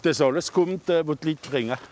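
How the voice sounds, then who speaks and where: A man's voice: on the high side, fairly quick, a little mellow, a little hiss or hum. A man working at a charity sorting depot, explaining plainly where donations come from. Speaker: charity shop worker.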